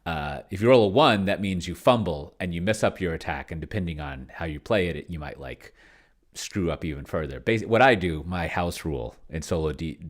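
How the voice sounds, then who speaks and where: A man's voice: deep voice, fairly quick. A man giving a casual, unscripted commentary on his own tabletop game session, rambling and hesitant as he thinks aloud and trails off mid-thought. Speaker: solo tabletop roleplayer and host, narrating own play session.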